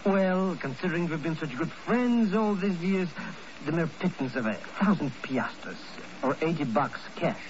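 An actor playing a cowardly, grasping comic sidekick in 1950s radio drama, with a florid, wheedling, self-important manner. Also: male voice, fairly high pitch, expressive, measured pace. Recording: muffled, noisy old radio recording.

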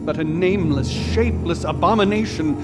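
A man's voice: a little high, brisk, lightly acted. A man playing a grave, ornate ghost hunter. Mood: haunted. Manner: formal, ornate, old-fashioned speech. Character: grave, eccentric male ghost hunter telling a dark tale. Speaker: witness recounting a haunting. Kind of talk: radio drama acting. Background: music.

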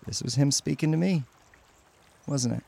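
A man's voice: mid-range voice, creaky, warm tone, breathy edge. An actor playing an anguished, devout teenage boy in an audio drama, full of self-loathing and stammering as he speaks. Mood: ashamed, despairing. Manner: halting, stammering. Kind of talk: emotional audio drama acting.

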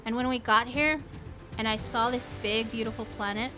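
A woman's voice: a little high, fairly even intonation, moderate pace, music in the background, close to an everyday voice. A woman playing a starship captain and mother in an audio drama, telling stories in a plainspoken way. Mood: tender, reflective. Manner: plainspoken, storytelling to a child.

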